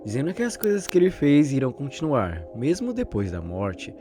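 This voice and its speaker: A man's voice: medium pitch, wildly swooping pitch, fairly quick, warm tone. A young man with a Brazilian accent giving conversational scripted commentary as a video host, in a wordy storytelling manner. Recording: background music under the narration. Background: music.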